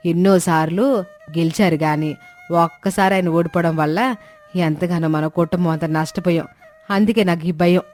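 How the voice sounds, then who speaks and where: A woman's voice: fairly low pitch, steady tone, normal speed, a little mellow, lightly acted. Woman as an anxious older village wife. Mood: worried. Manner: fretful, lamenting. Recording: music bed under the voice. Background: background music.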